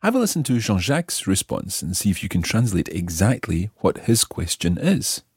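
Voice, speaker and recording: A man hosting a scripted language lesson, explaining clearly and repeating phrases slowly and deliberately. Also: sits low, sing-song, darkish tone, no echo, clean studio sound. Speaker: language teacher and host.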